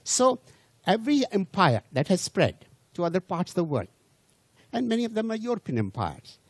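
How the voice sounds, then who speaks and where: A man from India, not a native English speaker, giving an unhurried public lecture to an audience and restarting and repeating words as he goes. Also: high voice, big pitch swings, no room sound.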